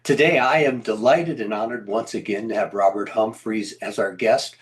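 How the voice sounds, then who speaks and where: A man's voice: fairly low pitch, normal speed. A man hosting an interview, reading a friendly introduction and asking his guest questions. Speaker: interview host.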